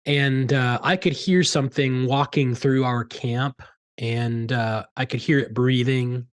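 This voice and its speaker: A man's voice: medium pitch, unhurried. Podcast host, man, mid-range voice, easygoing and hesitant. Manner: relaxed, hesitant with fillers. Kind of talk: casual chat.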